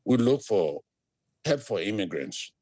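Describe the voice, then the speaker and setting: A man from Liberia, an animated storyteller who laughs easily, speaking as an interview guest. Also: husky, no echo. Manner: animated storyteller with big swings in pitch, quick to laugh. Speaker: guest, immigrant services business owner. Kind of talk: conversational interview.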